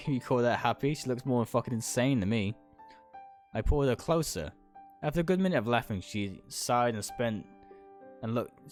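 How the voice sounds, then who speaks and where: Male game streamer, mid-pitched and animated, reads story text with slips and restarts over soft music. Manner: stumbles over words and rereads lines. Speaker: livestreaming gamer reading a visual novel aloud. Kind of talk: live read-aloud of narration with asides. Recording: music behind the voice.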